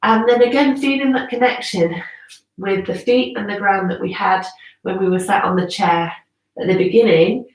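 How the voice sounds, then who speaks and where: Female yoga instructor, slightly stuffy nose, measured guiding cues with casual asides, recorded in a roomy space away from the mic. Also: mid-range voice. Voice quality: slightly congested, bunged-up nose. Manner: measured, pausing between cues, conversational asides. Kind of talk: live guided yoga class, instructional talk. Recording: roomy echo, distant from the mic.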